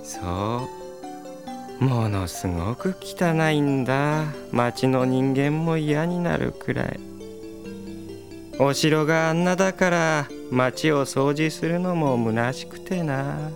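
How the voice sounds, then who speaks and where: A man's voice: fairly high pitch, slow pace, gravelly, music in the background. A man playing a listless, resigned townsman in an audio drama, drawling and indifferent. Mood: weary, indifferent. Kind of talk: Japanese audio drama character acting.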